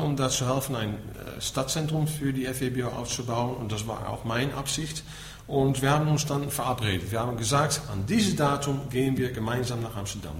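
A middle-aged man from the Netherlands with a Dutch accent gives an explanatory talk as a Buddhist teacher, often tagging his points with a short questioning word. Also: medium pitch. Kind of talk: spoken lecture to listeners. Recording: echoey room with faint background noise.

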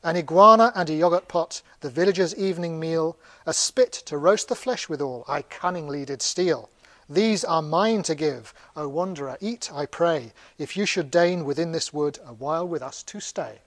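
A man's voice: fairly high pitch, normal speed, fairly bright tone, no echo. A man telling a story aloud, voicing a sneaky jackal who eagerly and proudly offers food. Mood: proud, declaiming. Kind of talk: oral storytelling character voice.

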